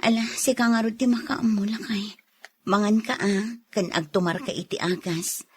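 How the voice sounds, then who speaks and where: A woman's voice: medium pitch, normal speed, breathy edge, lightly acted. A woman playing a caring, practical wife in an audio drama, speaking with an Ilocano accent. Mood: concerned. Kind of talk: dramatized radio-style story acting.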